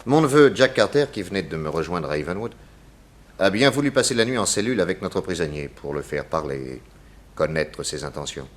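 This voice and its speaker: Deep-voiced, commanding detective. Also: male voice, lively intonation. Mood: stern, explaining. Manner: theatrical, deliberate, accusatory, lecturing. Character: shrewd, authoritative detective exposing a murderer. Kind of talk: 1960s French radio drama acting.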